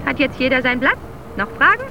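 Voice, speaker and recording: An actress playing a bossy, impatient female teacher in a radio drama, briskly ordering children about and talking down to them. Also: high voice, very animated, muffled recording, background noise. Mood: sugary, commanding. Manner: brisk, scolding, talks down to children.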